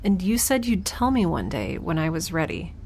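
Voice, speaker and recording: A woman's voice: fairly low pitch, sing-song, moderate pace, darkish tone, slight background noise. A woman playing a wry, anxious, wistful young woman alone in space, talking casually in an audio drama diary. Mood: wistful, reflective. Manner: casual, conversational, sardonic. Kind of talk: audio drama, first-person recorded diary.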